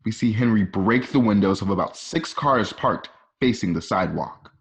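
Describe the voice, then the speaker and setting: A man's voice: fairly low pitch, animated, normal speed. A man reading screenplay stage directions aloud as the narrator of a radio play. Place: at home.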